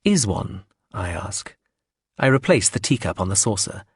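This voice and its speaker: Brief: low, husky male narrator, wry and measured, dry close sound. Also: dry recording. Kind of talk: first-person audiobook narration.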